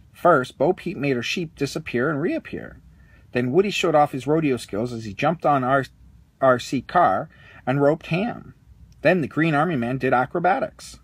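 Animated husky man reading a storybook. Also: mid-range voice, no echo. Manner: animated, puts on character lines. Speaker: storybook reader. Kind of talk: read-aloud children's story narration.

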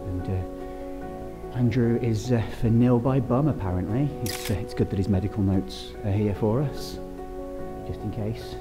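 A man's voice: on the low side, unhurried, music in the background. A man in his thirties touring derelict sheds, with wry, chatty commentary and voice-over. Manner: wry, chatty, marvels at decay. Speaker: urban explorer vlogger. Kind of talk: on-location exploring commentary and voice-over narration.

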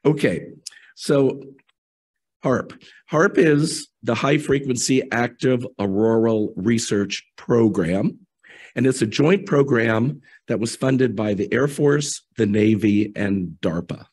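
A middle-aged man, an electrical engineer, giving a conference presentation in a relaxed, conversational way, his voice hoarse from a head cold. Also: medium pitch, slow pace. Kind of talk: technical conference talk.

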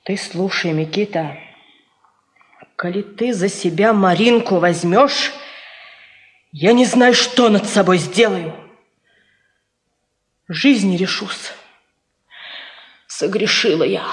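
Fierce, jealous peasant wife. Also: female voice, lowish voice, takes it slowly, long pauses. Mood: desperate. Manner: scolding, rustic folk speech. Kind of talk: 1950s Soviet radio play acting.

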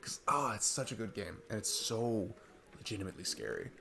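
A man's voice: fairly low pitch, expressive, a little fast, pushed and bright, slight background noise. A man playing a sweet, earnest, geeky single dad on a date, rambling and hesitant in an intimate ASMR roleplay. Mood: excited, earnest.

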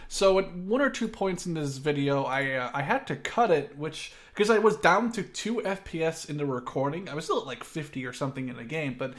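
A man's voice: fairly high pitch, fairly quick, few pauses. A man in his twenties hosting a gaming video with casual, sweary commentary and chat. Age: 20s. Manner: informal, sweary. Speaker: gaming video host and player. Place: echoey room at a new place. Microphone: microphone of reduced quality.

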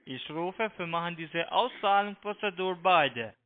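A man with a foreign accent, not a native speaker, making a halting, repetitive phone pitch over a narrow tinny line. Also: lively intonation. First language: not German. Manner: halting broken German, repetitive. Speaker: scam call-centre agent. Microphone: telephone.

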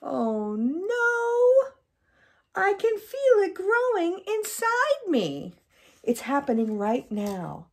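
A woman voicing an excitable, melodramatic young crocodile from a children's picture book. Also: extremely high pitch, very slow, pitched above the performer's own voice, full character voice. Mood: panicked. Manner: dramatic, exclamatory, melodramatic. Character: excitable, panicky young crocodile who loves watermelon.

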